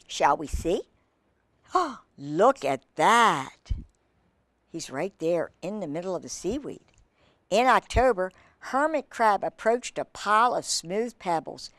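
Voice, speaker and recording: A woman's voice: lowish voice, sing-song, takes it slowly, fairly bright tone, dry recording. A woman reading a picture book aloud to children, expressively, stopping to ask them questions and exclaim over the pictures. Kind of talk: expressive read-aloud with asides to young listeners.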